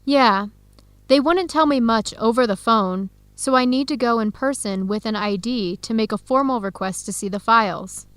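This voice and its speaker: A young woman playing an earnest researcher searching for her birth family in an educational radio drama, speaking clearly and conversationally. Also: fairly high pitch, moderate pace, a bit bright, dry recording, close to an everyday voice. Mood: resolute. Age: young adult.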